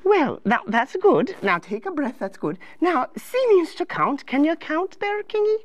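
A woman voicing a fussy, patient advisor who coaxes and gently teaches in a sing-song way for children. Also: high voice, very animated, unhurried, voice pushed up. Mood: patient, instructive. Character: fussy, patient, high-voiced advisor to the king. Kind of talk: children's moral lesson in character.

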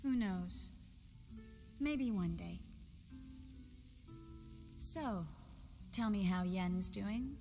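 A woman's voice: mid-range voice, lively intonation, extremely slow, pauses often, a little mellow, faint music behind. A woman voicing a clever, guarded sorceress in a fantasy game, speaking in a wry, composed way. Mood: wry, wistful. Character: clever, guarded young adult sorceress in disguise. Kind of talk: video game voice acting.

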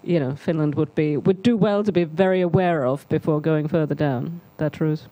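A middle-aged woman speaks on a seminar panel with a mellow, warm tone and very little room echo. She explains her points off the cuff, often repeating words as she builds them. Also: sits low, normal speed. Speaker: academic panelist. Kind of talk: spontaneous panel discussion remarks. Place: seminar auditorium on stage. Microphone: handheld microphone.

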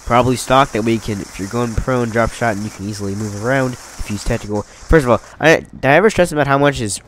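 A young man talks quickly through his gameplay, his voice stuffed up from a cold. He rambles and corrects himself, staying upbeat. A faint hiss of noise sits behind him. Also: mid-range voice. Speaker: gaming commentator. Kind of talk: casual gameplay commentary.

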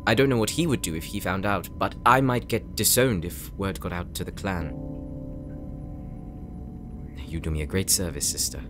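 An actor playing an earnest, insecure young knight in an audio roleplay, speaking gently and hesitantly, as if confiding in his sister. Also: deep voice, a little fast, music in the background, natural voice. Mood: apologetic, sheepish. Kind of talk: audio roleplay drama, intimate monologue.

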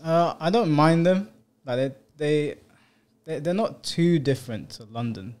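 A young man co-hosting a podcast, chatting casually and telling anecdotes with quick mock voices. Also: on the high side, lively intonation, darkish tone. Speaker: podcast co-host. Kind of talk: casual chat.

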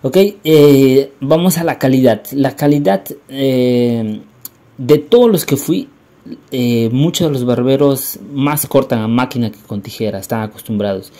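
Mellow young man, rambling. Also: medium pitch, a little slow. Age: young adult. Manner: rambling, hesitant, repeats words and phrases. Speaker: vlogger. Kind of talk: casual voice-over narration for a travel vlog.

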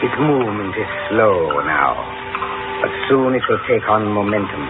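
An actor playing a cold, spectral inquisitor friar, speaking in a measured, ominous, formal way in 1940s radio horror style. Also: male voice, on the low side, animated, loud music behind the voice, natural voice. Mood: ominous gloating. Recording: noisy, tinny vintage radio broadcast.

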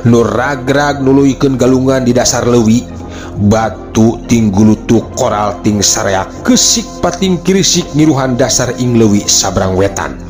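A man's voice: medium pitch, unhurried, over music. A man with a Sundanese accent narrating a folk tale as a dramatic storyteller.

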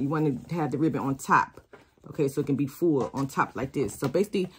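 Raspy-voiced woman chatting through a craft tutorial. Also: low-pitched. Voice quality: slightly raspy. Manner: chatty, talks to viewers as y'all. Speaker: crafter teaching shoe decorating. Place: craft studio with sewing and embroidery machines. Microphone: camera over worktable.

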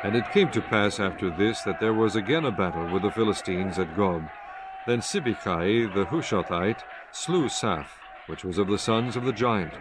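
Low-voiced man narrating scripture solemnly. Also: expressive, noisy background. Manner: measured, formal.

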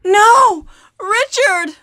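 A high, slow, earnest game heroine. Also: female voice, pushed and bright, studio quality, heavily acted. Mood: alarmed. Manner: stilted, slow, over-enunciated delivery. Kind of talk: 1990s video game voice acting. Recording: long hollow reverb.

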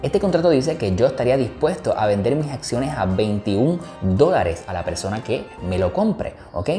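A man with a Puerto Rican Spanish accent walks through an investing tutorial, explaining patiently and checking in often. His voice is mellow and warm, with a noticeable room echo and faint music behind him. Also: mid-range voice, very animated, moderate pace. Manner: explanatory, repeats "¿ok?" to check understanding. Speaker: investing educator. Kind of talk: screen-recorded tutorial explaining options.

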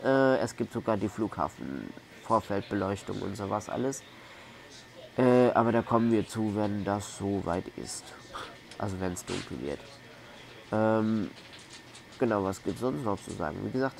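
Lockerer deutscher Gamer mit tiefer, knarziger Stimme. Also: male voice, lowish voice, unhurried, gravelly, slight background noise. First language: German. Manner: rambling, colloquial, repetitive. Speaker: gaming video host. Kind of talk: casual let's play commentary.

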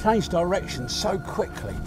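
A man's voice: high voice, big pitch swings, a little slow, over music. An older man with an English accent hosting a fishing show, chatting casually to camera from a boat out at sea. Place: outdoors on a small boat at sea.

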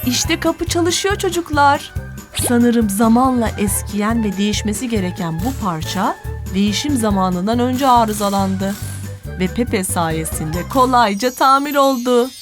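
Casting: female kids' cartoon narrator, fairly high voice, encouraging and instructive, music clearly underneath. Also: expressive, a little slow. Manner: didactic, encouraging, clearly articulated. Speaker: narrator of a children's educational cartoon. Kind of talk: scripted narration addressed to children.

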